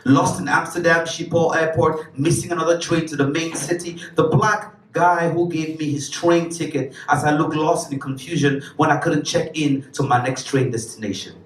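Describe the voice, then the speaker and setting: A man's voice: fairly high pitch, fairly even intonation, moderate pace, few pauses, a little hiss or hum. A man from Cameroon performing spoken word poetry on a live stage, with rhythmic, emphatic phrasing and casual asides. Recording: roomy, distant live recording.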